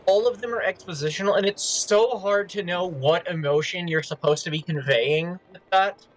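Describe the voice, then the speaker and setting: A man's voice: high voice, moderate pace, poor audio. A man chatting casually between story readings, explaining himself at length in a self-deprecating way. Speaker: co-reader voicing characters.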